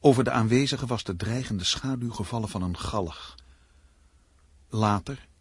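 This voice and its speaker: A man's voice: lowish voice, moderate pace, husky, no echo. A man narrating a Dutch radio play in dramatic, scripted prose.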